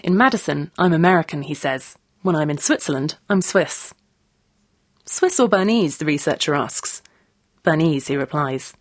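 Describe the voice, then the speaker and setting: Woman presenter, lowish voice, close dry sound. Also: no room sound. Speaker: presenter and interviewer. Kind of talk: scripted narration with interview questions.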